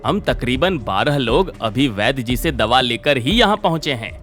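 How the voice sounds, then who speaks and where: A man's voice: mid-range voice, sing-song, moderate pace, a bit bright, soft background music. A man playing an earnest village customer in a cartoon story, giving his account in a declarative way. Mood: reporting.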